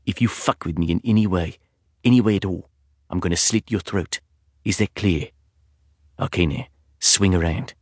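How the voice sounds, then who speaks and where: A towering, cold-eyed male intruder , as a man puts him on, speaks in a husky British accent, low and clipped. Every word lands as a threat in a dry, close sound. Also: moderate pace, pauses often, no room sound. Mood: menacing. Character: huge menacing male intruder with a knife.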